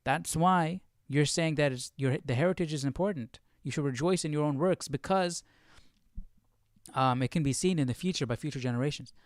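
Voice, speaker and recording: A man hosting a live Bible study in a casual, questioning way, thinking out loud as he reads and comments. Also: on the high side, a little fast, no echo, clean studio sound.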